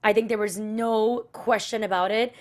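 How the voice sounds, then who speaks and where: A woman's voice: medium pitch, fairly even intonation, normal speed. A woman hosting a casual talk show, chatty and rambling as she leads each segment. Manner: chatty, rambling, many fillers. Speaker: host. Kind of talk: casual chat, leading the segments.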